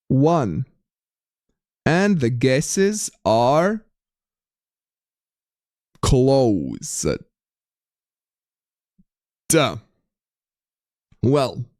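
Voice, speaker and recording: A man's voice: fairly high pitch, no room sound, studio quality. A young man with a light Latvian accent, streaming a geography game and chatting casually with viewers while reading the chat aloud. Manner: chatty, reads chat aloud, frequent restarts.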